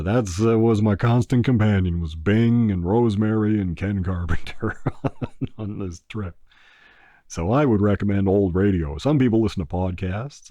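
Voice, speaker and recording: An older man rambles through a relaxed podcast chat in a very low, mellow voice. He takes his time and fills the gaps with "uh". The sound is dry and close, with no room around it. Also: no room sound. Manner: unhurried, many "uh" fillers, breaks into crooning an old song. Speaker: podcast host.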